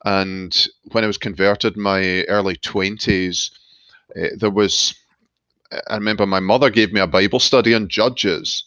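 A middle-aged Scottish man from Glasgow speaks as a guest with a measured, reflective manner. His voice sits a little low and the remote line sounds dull and dry, with no room around it. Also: steady tone, fairly bright tone, dry recording. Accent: Glaswegian Scottish. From Scotland. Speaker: seminary professor and guest. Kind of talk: reflective interview talk. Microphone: remote call line.

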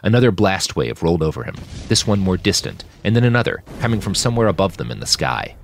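A man reading scripted action fiction aloud in an expressive, dramatic narrating style. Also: low-pitched, quick pace, vocal fry, slight background noise. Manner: expressive, shouts out characters' lines. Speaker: audiobook narrator reading own fiction podcast.

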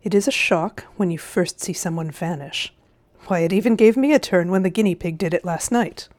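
A woman's voice: on the low side, normal speed, darkish tone, natural voice. A woman voicing a cunning, smug old uncle in a storybook, falsely sweet, condescending and genteel in his phrasing. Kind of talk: storybook character voice.

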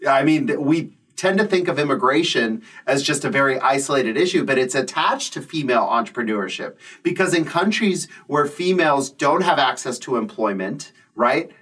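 A man's voice: mid-range voice, expressive, moderate pace, few pauses, a bit bright, husky, far from the mic. A man hosting an interview, raising points thoughtfully and asking questions. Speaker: interview host. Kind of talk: interview conversation.

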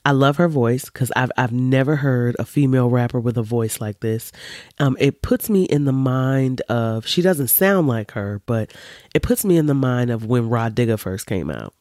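A woman in her forties with a deep voice, hosting a podcast in an emphatic, animated, opinionated monologue full of casual swearing. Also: moderate pace, no echo, clean studio sound. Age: 40s. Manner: emphatic and animated, swears freely, preachy asides. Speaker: podcast host.

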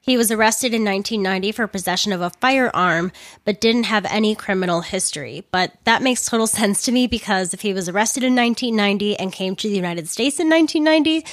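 A woman, somewhat hoarse from losing her voice, narrating a crime story with earnest personal commentary. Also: medium pitch, animated, a little fast, dry recording, clean studio sound.

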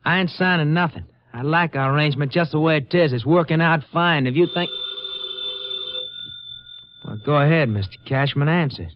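An actor playing a smug, folksy blackmailer who drawls mock-friendly threats in a 1950s radio drama. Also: male voice, a little high, normal speed, pauses often, a little hiss or hum, natural voice. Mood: smug, menacing. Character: folksy, sly male blackmailer, smugly threatening. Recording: dull vintage radio recording.

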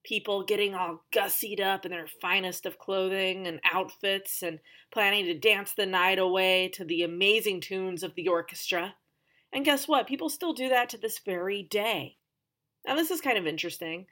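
A woman's voice: mid-range voice, normal speed, pushed and bright. A woman hosting a podcast, telling a story in a conversational scripted narration with emphatic, dramatic phrasing and chatty asides. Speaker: podcast host.

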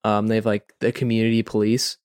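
A man's voice: fairly low pitch, little pitch movement, a little fast, darkish tone, clear, resonant tone, no room sound, clean studio sound. A man co-hosting a podcast, telling a story in casual chat, rambling informally with plenty of "like" and "you know". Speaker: podcast co-host.

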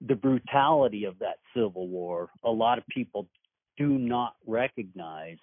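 A man hosting a podcast, asking interview questions in a measured, earnest way. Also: fairly low pitch, animated, dry recording. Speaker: podcast host. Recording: dry, phone-like line.

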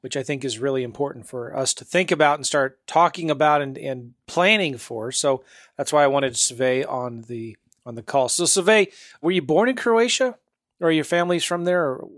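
Upbeat guy hosting a podcast, kinda high voice, dry close mic. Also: normal speed, fairly bright tone, no room sound. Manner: upbeat, chatty, laughs easily. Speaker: podcast host. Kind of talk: casual podcast interview.

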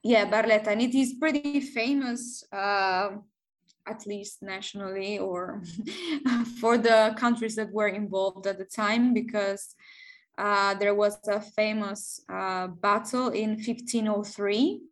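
A woman in her thirties from southern Italy with an Italian accent, speaking English in a casual interview and pausing often to find words. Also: medium pitch, fairly even intonation. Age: 30s. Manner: hesitant, many pauses and fillers, light laughs. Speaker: guest.

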